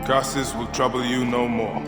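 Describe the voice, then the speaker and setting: Game hero male actor: solemn Egyptian fighter, unhurried, intense, reverberant hall. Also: medium pitch, flat delivery, natural voice. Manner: grave, measured, intense. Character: solemn, determined ancient Egyptian warrior avenger. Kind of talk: dramatic video game cutscene acting. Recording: echoing hall, loud music behind.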